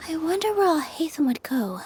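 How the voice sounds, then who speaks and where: A woman voicing a gentle, polite young woman in a video game, with soft, thoughtful and slightly hesitant delivery. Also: very high voice, big pitch swings, brisk, noisy background. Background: game music.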